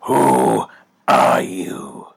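A man voicing a brooding masked male vigilante, speaking in a low, gruff, whispered snarl. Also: extremely slow.

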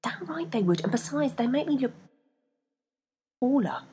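A woman voicing a timid traveller who whispers softly to her own shoes. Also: high voice, lively intonation, moderate pace, noisy background, pitched above the performer's own voice. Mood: hushed. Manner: hushed, whispered.

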